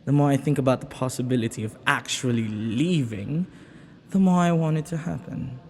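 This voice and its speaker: A man's voice: mid-range voice, moderate pace, a little hiss or hum, lightly acted. A man playing a flirty, ancient banished villain in an intimate audio roleplay, lonely and teasing, with hesitant, murmuring delivery. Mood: playful, flirty. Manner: flirtatious, hesitant, breaking into murmurs and soft chuckles.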